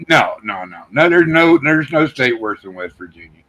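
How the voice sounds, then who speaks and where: A man's voice: medium pitch, sing-song, normal speed, sharp tone. An older man from Texas, chatting casually on a live stream and telling rambling folksy stories with quoted dialogue. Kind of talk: casual chat.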